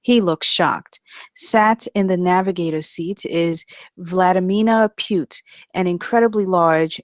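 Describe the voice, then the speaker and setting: A woman's voice: fairly low pitch, no echo, studio quality. A woman narrating the stage directions of a comedy screenplay at a table read.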